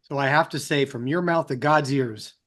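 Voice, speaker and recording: A man's voice: mid-range voice, normal speed, fairly bright tone. A man hosting an interview, rambling and piling up repeated words as he sets up his question. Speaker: interview host. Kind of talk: conversational interview.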